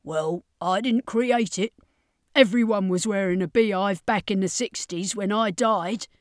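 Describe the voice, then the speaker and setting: A woman's voice: medium pitch, unhurried, no echo, studio quality, natural voice. A woman as Carlene, glamorous vampire lady. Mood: breezy.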